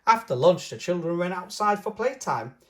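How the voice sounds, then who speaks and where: A woman's voice: on the low side, lively intonation, moderate pace. A woman reading a children's storybook aloud, adding playful, simple asides for young listeners. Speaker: storybook reader and channel host.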